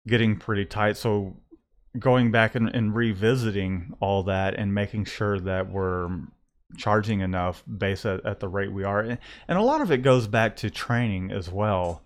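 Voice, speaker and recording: Low-voiced man chatting at a studio mic. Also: unhurried. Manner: rambling, restarts often, thinks aloud. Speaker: screen printing shop owner and podcast host. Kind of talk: casual business chat. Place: small print shop room. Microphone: studio condenser mic close up, headphones.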